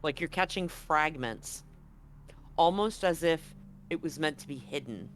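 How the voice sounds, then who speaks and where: Higher-pitched man running a tabletop game. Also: animated, a little slow, a bit bright. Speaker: game master. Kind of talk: narrating a tabletop roleplaying game, casual.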